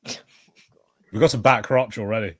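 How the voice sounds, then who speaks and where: A man's voice: fairly low pitch, fairly even intonation, slow pace, dry recording, studio quality. A man co-hosting a casual watch-party commentary, chatting informally about a film. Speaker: podcast co-host.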